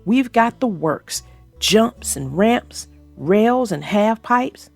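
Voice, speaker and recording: A woman's voice: on the high side, lively intonation, slow pace, creaky, darkish tone, faint music behind, full character voice. A woman voicing a cocky, sneering young male grizzly bully in a storybook, taunting and swaggering. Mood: boastful.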